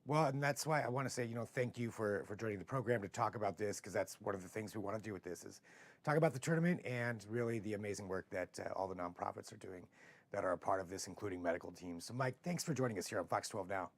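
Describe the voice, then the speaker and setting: An American man with a rough, hoarse voice hosting a casual live interview, talking in halting, rambling phrases. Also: lowish voice, no echo. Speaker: TV show host.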